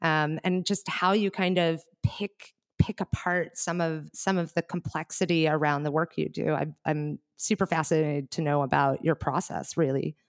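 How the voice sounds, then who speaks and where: A woman's voice: low-pitched, fairly even intonation, moderate pace, few pauses. A woman hosting an interview, asking rambling, friendly questions in a casual way. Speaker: host.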